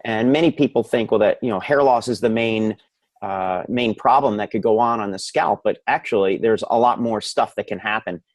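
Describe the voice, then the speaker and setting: A man's voice: lowish voice, moderate pace, no room sound. A man speaking as an expert physician guest, explaining his field conversationally in an interview.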